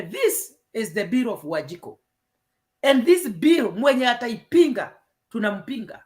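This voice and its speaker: A woman's voice: a little high, a bit bright. A Kenyan woman with a Kenyan accent in an earnest political discussion, mixing English and Swahili. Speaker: panelist.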